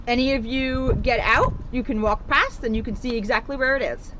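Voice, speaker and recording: Female co-presenter for children's read-aloud: high voice, casual chat, noticeable car noise behind. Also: steady tone, moderate pace. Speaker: police officer co-presenting a children's book reading. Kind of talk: casual chat to young viewers. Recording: muffled, clear background noise.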